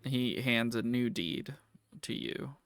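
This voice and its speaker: A man narrating a tabletop game as game master, improvising with a halting, deliberate delivery. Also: mid-range voice, gravelly, fairly bright tone, no echo.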